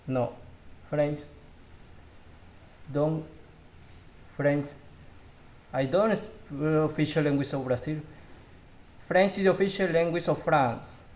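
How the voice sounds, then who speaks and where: A man whose first language is Spanish, slowly reading English question-and-answer drills aloud as a learner, with small grammar slips. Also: a little high, a little mellow. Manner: slow, careful practice reading with slips.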